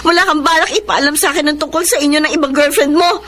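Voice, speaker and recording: A woman's voice: sits high, fast, pushed and bright. An actress playing a heartbroken, betrayed young woman in an emotional, melodramatic radio drama style. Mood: accusing. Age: young adult. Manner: emotional, pleading and reproachful. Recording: drama with music behind the voice.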